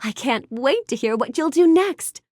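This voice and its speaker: A woman playing a sweet, curious, bookish young fairy-tale heroine in a video game, speaking with gentle, courteous wonder. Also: sits high, normal speed, no echo, studio quality. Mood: warm, encouraging. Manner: gentle, wonder-filled, courteous, expressive delivery. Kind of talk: animated game character dialogue.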